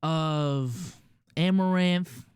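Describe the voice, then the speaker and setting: A man's voice: a little high, extremely slow, darkish tone, clear, resonant tone, no room sound. A male streamer with a Filipino accent, talking loosely to his chat with slang and lots of restarts, close to a dry mic. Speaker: streamer and podcast host. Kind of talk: casual commentary to a live chat.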